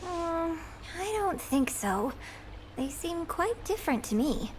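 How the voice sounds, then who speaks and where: A woman's voice: extremely high pitch, very animated, slow pace, slight background noise, exaggerated voice, poor audio. A woman voicing a poised, earnest young female opera star in a video game, speaking politely and formally. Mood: gently objecting. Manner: polite, composed, formal phrasing. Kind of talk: video game character dialogue. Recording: game music behind the voice.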